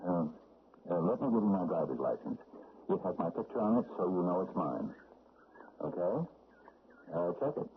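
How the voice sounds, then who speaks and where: A man's voice: sits low, big pitch swings, normal speed, long pauses. An actor playing a timid, nervous city man who stammers and hesitates with many fillers in a 1970s radio drama. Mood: evasive. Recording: tinny lo-fi old radio broadcast with faint hiss.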